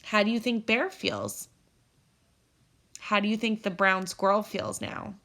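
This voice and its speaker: A woman's voice: medium pitch, fairly even intonation, measured pace, gravelly, fairly bright tone. A woman teacher reading a children's storybook aloud expressively to her pupils.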